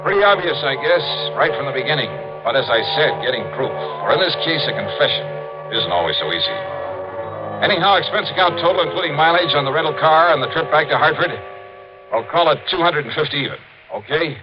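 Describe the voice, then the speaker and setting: A man's voice: fairly high pitch, expressive, brisk, lo-fi sound, music in the background, close to an everyday voice. A man playing a tough, shrewd insurance investigator in a 1950s radio drama, speaking in a clipped, probing, matter-of-fact way. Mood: wry, businesslike. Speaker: actor in lead role. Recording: noisy, band-limited 1950s radio recording.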